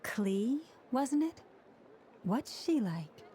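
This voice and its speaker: An actress playing a composed, inquisitive adult companion in a video game. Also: female voice, on the high side, very animated, drawn-out words, warm tone, a little hiss or hum, exaggerated voice. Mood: curious.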